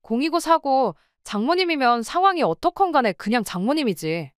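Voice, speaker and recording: A woman's voice: sits high, very animated, a little fast, smooth, dry recording, clean studio sound. A woman playing an exasperated wife who runs a restaurant, arguing with her husband and in-laws. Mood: angry. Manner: pleading, then sharply arguing. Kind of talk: radio drama acting.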